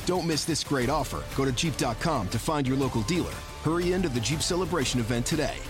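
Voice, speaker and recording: A man reading a scripted car ad in a brisk promotional delivery. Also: medium pitch, expressive. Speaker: ad announcer. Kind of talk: scripted radio ad read. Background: music.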